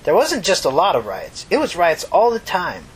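A man's voice: sits high, huge pitch swings, moderate pace, husky, pitched above the performer's own voice, full character voice, low-quality recording. A man voicing a tired, solemn, talkative old woman with a folksy, earnest manner in a fiction reading. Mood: reminiscing, emphatic.

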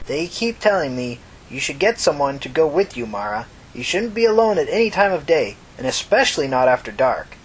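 A man's voice: a little high, sing-song, a little fast, hardly any pauses, slight background noise, rough recording. A man voicing a tired, solemn, talkative old woman with a folksy, earnest manner in a fiction reading. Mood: insistent, kindly.

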